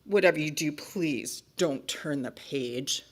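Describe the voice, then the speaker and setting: Lady doing a bossy panicky picture book character, super dramatic, exasperated n pleading, slow heavy emphasis. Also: low voice. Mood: pleading, stern. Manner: exasperated, melodramatic, emphatic pleading with drawn-out warnings. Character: frantic, bossy, pleading storybook narrator begging reader to stop. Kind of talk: dramatic children's picture-book read-aloud.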